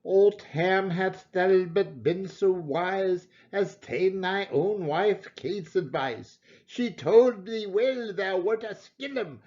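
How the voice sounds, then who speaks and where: A man giving a theatrical, expressive reading of a narrative poem in Scots dialect. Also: high voice, takes it slowly, hardly any pauses, lo-fi sound. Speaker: poetry reader.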